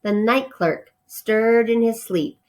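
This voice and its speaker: A woman's voice: a little high, slow pace, darkish tone, soft background music. A woman reading a children's story aloud, informally, with casual asides and simple explanations.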